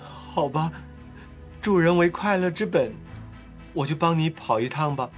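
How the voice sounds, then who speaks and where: A man voicing a small, kind-hearted swallow in an expressive, exclamatory way for a children's audio storybook. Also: fairly high pitch, a little slow, faint music behind, close to an everyday voice. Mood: willing.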